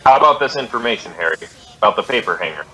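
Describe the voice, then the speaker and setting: A man's voice: fairly low pitch, lively intonation, very fast, sharp tone, rough recording. An American man playing a terse, businesslike police detective in a clipped, matter-of-fact 1950s radio drama style. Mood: dry, businesslike. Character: terse, businesslike male police detective, narrating his case.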